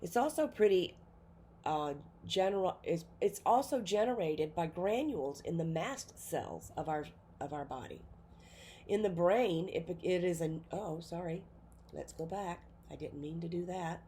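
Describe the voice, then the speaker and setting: Low-voiced woman teaching with a cold. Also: animated, unhurried, warm tone, slight background noise. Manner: informal, with frequent fillers and self-corrections. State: has a cold. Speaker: nursing instructor. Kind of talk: recorded classroom lecture.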